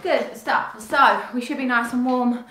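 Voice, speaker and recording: Upbeat bright-voiced woman fitness instructor. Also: on the high side, fairly even intonation, a little slow, a little hiss or hum. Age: young adult. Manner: upbeat, chatty, cueing moves while exercising. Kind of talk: live workout instruction. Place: small bright studio room. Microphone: far camera. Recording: echoey room, from a distance.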